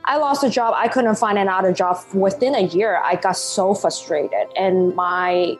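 A woman in her thirties from Hong Kong, a Cantonese native speaker with a Hong Kong English accent, telling her story as a podcast guest in animated, laugh-filled talk. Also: medium pitch, moderate pace, faint music behind. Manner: animated, laughs often, non-native phrasing. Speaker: guest, life coach. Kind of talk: podcast interview.

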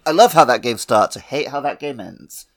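A man's voice: medium pitch, lively intonation, normal speed. A man chatting casually and giving his opinions on a podcast. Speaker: podcast guest or panellist. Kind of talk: casual chat.

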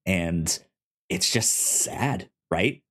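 Male podcast co-host, low voice, casual conversational delivery, halting and stammering over word starts. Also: animated, fairly bright tone, breathy edge. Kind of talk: casual discussion of an audio drama.

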